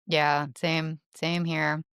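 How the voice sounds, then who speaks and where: A woman with a naturally low voice hosting a casual podcast interview, with soft backchannels and trailing questions. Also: little pitch movement, drawn-out words, smooth, no echo, studio quality. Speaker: podcast host.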